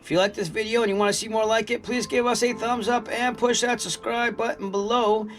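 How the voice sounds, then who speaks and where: A man's voice: high-pitched, flat delivery, a little fast. A man giving a casual, plain-spoken product review, explaining things step by step as he talks.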